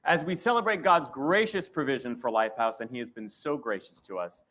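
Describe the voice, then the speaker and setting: A man's voice: medium pitch, brisk, sharp tone. Man giving a gracious formal welcome. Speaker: co-host.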